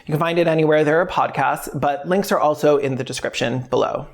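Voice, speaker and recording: A woman's voice: deep voice, fast. A woman host speaking directly to the audience in scripted narration, with informal wording. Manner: informal, sweary. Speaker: video essay creator and host.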